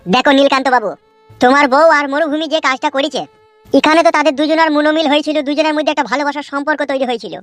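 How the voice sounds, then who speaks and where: A woman's voice: sits high, steady tone, very fast, no echo, soft background music, close to an everyday voice. A woman playing a self-important male village politician in a comic cartoon, lecturing and brushing complaints aside. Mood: dismissive. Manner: pompous, lecturing, dismissive.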